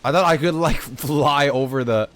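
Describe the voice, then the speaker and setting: A man doing casual live gameplay commentary as a streamer, reactive and excitable, swearing casually. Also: a little high, moderate pace. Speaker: game streamer.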